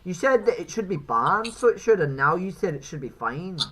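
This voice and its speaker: A woman's voice: fairly low pitch, sing-song, normal speed, breathy edge. A woman streamer questioning strangers casually in a silly, put-on Irish accent full of repeated tag phrases. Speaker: streamer interviewing strangers on a live video chat.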